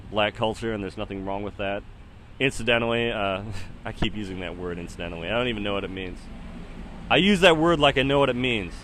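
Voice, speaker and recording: A man's voice: mid-range voice, a bit bright, a little hiss or hum. A man in his thirties giving casual, rambling spoken commentary in a hesitant, musing way that often trails off. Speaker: solo podcast host.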